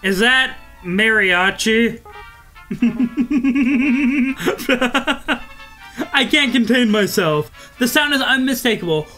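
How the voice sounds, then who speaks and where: A man with a high-pitched voice hosts a visual novel playthrough over faint music. He reads the narration aloud and breaks off for chatty, amused asides in a bright tone. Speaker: let's play host.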